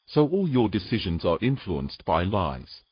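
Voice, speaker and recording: A man acts as a protective fantasy romance hero with a low, husky voice. He is gentle and coaxing, with expressive swings in pitch, in an intimate audio drama style. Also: unhurried, dry recording, muffled recording, heavily acted. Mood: guarded. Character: tender, protective human man soothing a mermaid.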